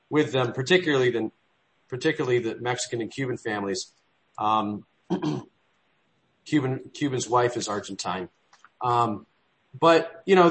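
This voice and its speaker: A man's voice: medium pitch, fairly bright tone, poor audio. A man running a weekly business meeting, rambling with frequent restarts and trailing asides. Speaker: meeting leader.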